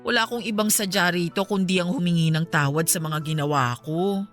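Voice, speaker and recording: A woman's voice: lowish voice, fairly quick, close to an everyday voice. A woman playing a hot-headed, protective middle-aged mother in a radio drama, with a Filipino accent and an emotional, emphatic delivery. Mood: remorseful, pleading. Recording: music behind the voice. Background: background music.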